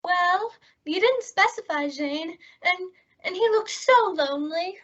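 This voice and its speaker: A woman's voice: extremely high pitch, animated, measured pace, a bit bright, heavily acted. A woman playing a sweet, scatterbrained, naive young roommate in old-time radio comedy, earnest and guileless. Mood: innocent. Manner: guileless, earnest, dim-witted delivery. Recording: dull lo-fi radio-style recording.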